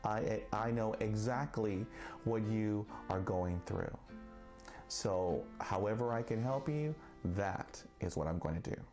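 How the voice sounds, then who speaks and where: A man who stutters, speaking slowly and deliberately with long pauses in a personal monologue. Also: on the low side, a little mellow. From Texas. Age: 40s. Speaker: vlogger. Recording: faint guitar music behind.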